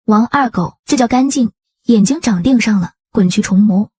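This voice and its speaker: A woman voicing a tough young female gangster who is fierce yet tender with her lover, husky, in a motion-comic drama. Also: fairly high pitch, moderate pace, a little mellow, no room sound, studio quality. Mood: scornful.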